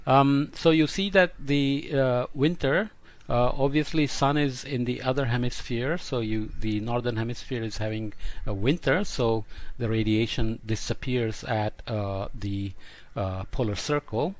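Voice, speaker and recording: A man giving an explanatory science lecture in a halting way, often pausing mid-sentence with filler sounds. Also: medium pitch.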